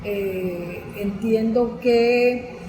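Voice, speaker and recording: Female Venezuelan panel speaker, unhurried and deliberate, word-searching delivery, long hall reverb with faint noise. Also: a little high, mellow. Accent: Venezuelan Spanish. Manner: halting, deliberate, searching for words. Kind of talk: panel speech.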